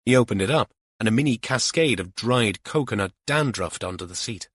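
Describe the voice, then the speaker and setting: A man's voice: on the low side, lively intonation, a little fast, fairly bright tone, breathy edge, dry recording, clean studio sound. A man reading scripted fiction narration as an audiobook narrator.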